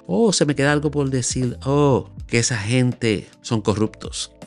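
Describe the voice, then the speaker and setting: A man's voice: medium pitch, unhurried, faint music behind. A man in his sixties with a Puerto Rican accent, speaking emphatically into a close studio microphone, scolding his viewers in a solo commentary from a small room at home. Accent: Puerto Rican Spanish. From Puerto Rico. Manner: earnest, scolding, emphatic, talks with his hands. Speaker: YouTube commentator. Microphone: large studio mic on a boom, close up.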